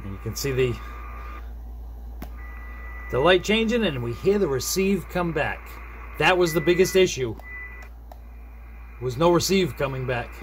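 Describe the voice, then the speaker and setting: A man's voice: a little high, expressive. A middle-aged man talking casually and unhurriedly, half to himself, as he demonstrates a hands-on repair at a desk. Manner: unhurried, muttering to self while working. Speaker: hobbyist repair video host. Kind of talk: casual hands-on demonstration, narrating as they work. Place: small indoor desk workspace. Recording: faint background noise.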